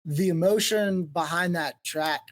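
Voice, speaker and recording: A man's voice: on the high side, a little slow. A man speaking as a touring band musician in a casual interview, explaining things in an earnest way.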